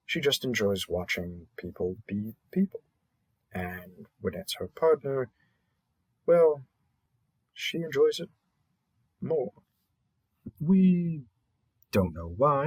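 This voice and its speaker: A man acts out a cheerful matchmaking host for a monster dating app in an audio roleplay. His voice is fairly low and husky. He takes his time, stretching key words and pausing theatrically as he presents each match. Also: no room sound, heavily acted. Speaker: matchmaking announcer. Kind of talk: scripted roleplay audio narration.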